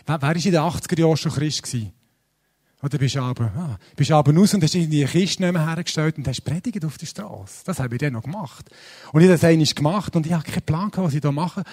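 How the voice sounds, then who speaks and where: A man in his forties with a Swiss German accent, preaching a sermon in a casual, lively, self-deprecating way with many fillers. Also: fairly high pitch, fast, breathy edge. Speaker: pastor. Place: church service.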